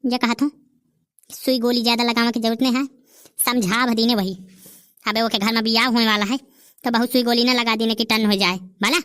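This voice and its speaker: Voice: mid-range voice, steady tone, brisk, long pauses, a bit bright, close to an everyday voice. A performer voicing a young village grandson with a Bundelkhandi accent, pleading and teasing in a cartoon comedy.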